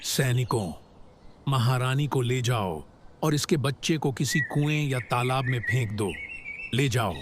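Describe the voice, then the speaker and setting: Male actor as proud cartoon king, harsh, commanding, heartless, declamatory, clear background noise. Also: medium pitch, measured pace, natural voice. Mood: outraged, stern. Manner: imperious, declamatory. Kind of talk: animated moral story acting.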